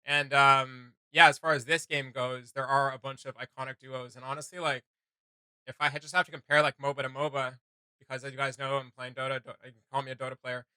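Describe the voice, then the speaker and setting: Guy doing game reaction chat, really bright crisp voice, close dry sound, rambly and slangy. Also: medium pitch, little pitch movement, a little fast, no room sound, rough recording. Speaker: host of a video game reaction show.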